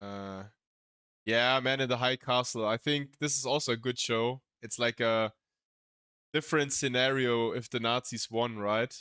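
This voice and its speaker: A man with a German accent chatting casually as a streamer reacting to a video, pausing to search for English words. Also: mid-range voice, fairly even intonation, sharp tone, smooth, no echo, clean studio sound. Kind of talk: casual live-stream chat.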